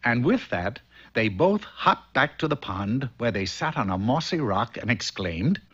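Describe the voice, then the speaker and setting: A man's voice: mid-range voice, very animated, measured pace, slightly rough. A man narrating a comic fairy tale for a cartoon in a storybook style. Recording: dull old cartoon soundtrack, faint noise.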